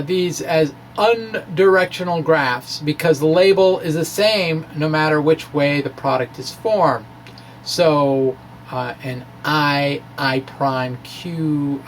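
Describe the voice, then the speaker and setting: A man giving an informal science explanation in a halting way, as if thinking aloud. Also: on the high side, vocal fry, a little hiss or hum. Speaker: amateur scientist presenting his blog.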